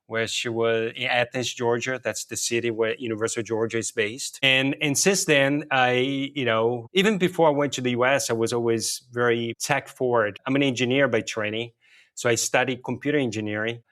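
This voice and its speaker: A middle-aged man with a Brazilian accent and Portuguese as his first language speaks as a guest on an interview show. His voice sits at an average pitch, and he explains things conversationally, checking in with "right" and "you know". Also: a bit bright, smooth. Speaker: interview guest, technology and payments executive.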